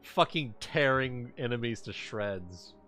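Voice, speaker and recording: A man's voice: medium pitch, lively intonation, slow, pushed and bright, soft background music. A man in a casual tabletop gamers' chat, talking informally and swearing freely. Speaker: tabletop role-playing game player.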